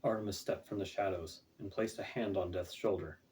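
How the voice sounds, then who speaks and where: A man's voice: low-pitched, a little fast, darkish tone, slight background noise. A man reading his own fantasy fiction aloud as scripted audiobook narration. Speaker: author reading own book. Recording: distant, off-mic home recording.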